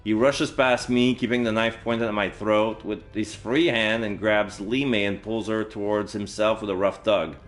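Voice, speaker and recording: A man with a lowish voice streams a visual novel. He reads the text aloud and comments casually on his play, with faint game music behind him. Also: steady tone, moderate pace, hardly any pauses. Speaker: video game livestreamer.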